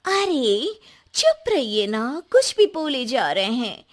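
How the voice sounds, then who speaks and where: Cartoon housewife, female performer: young, sharp-tongued, nagging, very animated and high-pitched. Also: slow pace, heavily acted. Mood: sarcastic, annoyed. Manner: scolding, exclaiming, sharp-tongued. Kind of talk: Hindi cartoon comedy acting.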